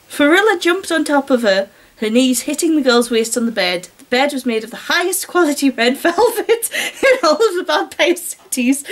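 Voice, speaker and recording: A woman with a high voice reads fiction aloud in a storyteller role. She slips between narration and chatty, mocking commentary, with a wry, sarcastic manner.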